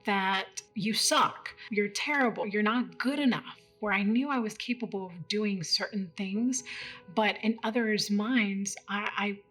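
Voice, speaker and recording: A woman's voice: medium pitch, unhurried, a bit bright, breathy edge. Conversational woman in her thirties from Dallas. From Dallas, Texas. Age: 30s. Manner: conversational, with fillers. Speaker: interviewee. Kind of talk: casual reflective interview. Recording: faint music underneath.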